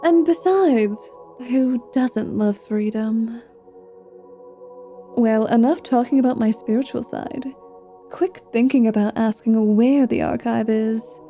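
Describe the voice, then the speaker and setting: A woman voicing a flirtatious, mischievous vampire paladin in an ASMR roleplay, with a teasing, drawn-out delivery. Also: fairly high pitch, mellow. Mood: scheming, teasing. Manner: slow, teasing, sultry delivery with drawn-out words.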